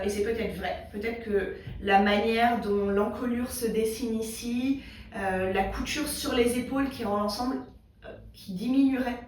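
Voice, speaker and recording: A woman's voice: fairly high pitch, a little mellow, slight background noise. A woman hosting a knitting video in a casual, rambling chat, with a slightly congested throat and lots of fillers. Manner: rambling, many fillers and restarts. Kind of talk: casual chat thinking aloud. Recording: echoey room, mic far away.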